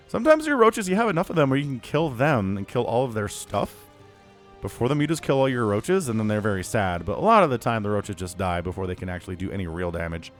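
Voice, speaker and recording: Excitable man casting a game alone. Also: on the low side. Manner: casual, excitable, rises to yelling at big fights. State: voice still recovering, cracking at times. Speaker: StarCraft caster. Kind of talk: solo esports play-by-play commentary.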